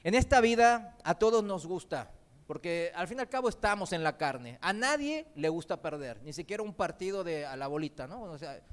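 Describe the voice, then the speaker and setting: A male preacher in a church, a native Korean speaker whose Spanish carries an accent learned in Argentina and mixed with Mexican. His voice sits high and sounds smooth and resonant. He preaches in a lively way, throwing rhetorical questions and light jokes to the congregation. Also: normal speed. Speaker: visiting preacher. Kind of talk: sermon.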